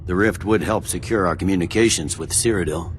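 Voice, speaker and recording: A man as a stern, deep-voiced army general. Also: fairly quick, a little hiss or hum, lightly acted. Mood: measured, grudging. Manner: clipped, commanding, exasperated. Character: stern, impatient middle-aged Imperial army general. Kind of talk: dramatic fantasy game dialogue.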